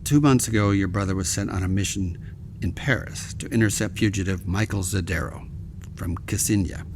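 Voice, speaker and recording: A man acting a grave, formal senior admiral in an audio drama, speaking in a measured, deliberate way. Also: low-pitched, noisy background, close to an everyday voice. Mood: solemn.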